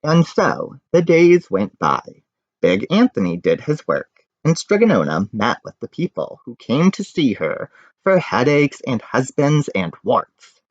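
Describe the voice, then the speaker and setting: A man's voice: medium pitch, unhurried. A man reading a children's picture book aloud, telling it expressively with casual asides. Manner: expressive storytelling. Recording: muffled, echoey room recording.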